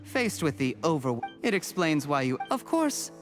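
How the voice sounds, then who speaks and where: An ambitious young schemer from a video game. His voice sits a little high for a man, and his speech is smug and formally courteous, always angling for advantage. Music plays underneath. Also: very animated, normal speed. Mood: smug, gloating. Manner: smug, ingratiating, formally polite. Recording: background music under the dialogue.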